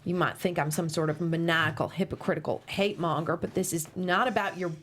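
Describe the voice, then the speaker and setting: A woman's voice: lowish voice, steady tone, brisk, a bit bright, slight background noise, close to an everyday voice. A woman reading the part of a prim, repressed, churchgoing middle-aged mother in a script table read, stiff and easily flustered.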